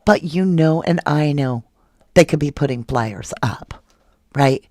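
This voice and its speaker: A woman's voice: sits low, unhurried, darkish tone, no room sound. An indignant woman hosting a true crime stream, reading viewer comments and firing rhetorical questions. Her voice is close and dry, with no room sound around it. She talks casually and keeps pressing her point. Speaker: true crime channel host.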